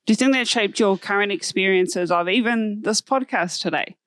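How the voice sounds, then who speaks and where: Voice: mid-range voice, moderate pace, a bit bright, dry recording. A guest interviewer asking curious, probing questions in a light, casual way.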